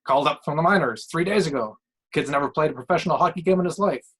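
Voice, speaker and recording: A man performs a blustery former hockey coach in the TV booth for an audio short story. He rants in short, slangy, emphatic sentences, full of strong opinions, with a clearly pushed commentator's delivery. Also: a little high, quick pace, fairly bright tone. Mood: scornful, worked up. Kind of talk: acted sports commentary in a short story reading.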